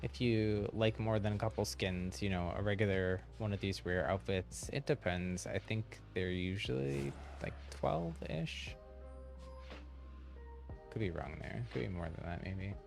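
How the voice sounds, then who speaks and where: Male game streamer, low gravelly voice, unhurried rambling delivery, casual, faint music behind. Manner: rambling, thinking aloud. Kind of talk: casual livestream chat while playing.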